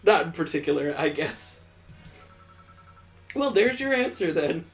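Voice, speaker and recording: A man's voice: on the high side, sing-song, measured pace, soft background music. A man voicing a talking teapot lady from a visual novel, motherly yet frank and brusque. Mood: dry, blunt. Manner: addresses listener as dear, frank.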